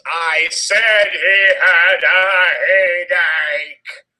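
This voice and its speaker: Bright, slow, higher-voiced man reading dramatically. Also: poor audio. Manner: exaggerated, melodramatic delivery. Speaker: dramatic reader of fan fiction. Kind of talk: theatrical dramatic story reading.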